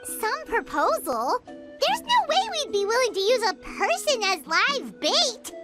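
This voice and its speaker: A woman's voice: huge pitch swings, a little slow, full character voice. A woman voicing a small, chirpy, childlike fairy girl companion in an anime video game, chatty and eager. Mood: puzzled, wary. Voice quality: squeaky, childlike. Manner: refers to herself in third person, exclaims brightly. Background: game music.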